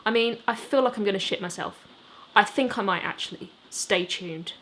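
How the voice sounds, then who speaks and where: A brash, excitable young boyband lad, as a woman narrator reads him in an audiobook. He talks bluntly and bouncily, pitched up a little, with faint noise behind. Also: moderate pace, lightly acted. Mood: cheeky, excited.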